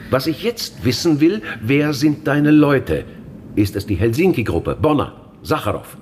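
A man's voice: medium pitch, big pitch swings, unhurried, a little mellow, slight background noise. A man playing a menacing, demanding Soviet interrogator in a German radio play, with clipped delivery. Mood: coldly demanding. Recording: long cavernous reverb, faint music.

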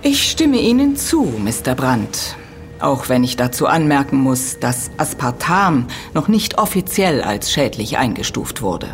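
An actress playing an earnest, critical university lecturer in an audio drama, explaining precisely and emphatically. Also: female voice, very deep voice, sing-song, unhurried, soft background music, heavily acted. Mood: measured, factual. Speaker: expert being interviewed.

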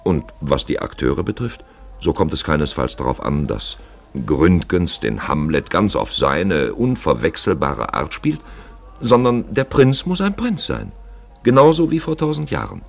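Very deep, gravelly male narrator. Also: huge pitch swings, unhurried. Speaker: narrator and presenter. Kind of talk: literary radio feature narration. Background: music.

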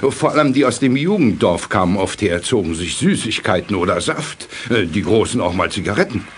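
An actor playing a gruff, put-upon small shopkeeper in a German children's audio drama. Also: male voice, fairly low pitch, animated, a little fast, a little hiss or hum, close to an everyday voice. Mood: exasperated.

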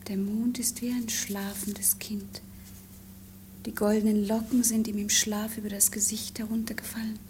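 Breathy, hushed young princess played by a woman, noisy recording. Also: medium pitch, little pitch movement, normal speed, natural voice. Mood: dreamy, hushed. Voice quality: breathy, half-whispered. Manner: hushed, poetic. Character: frail, dreamy, sorrowful young princess. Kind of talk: 1950s German stage-style radio drama acting. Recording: old broadcast with clear background noise.

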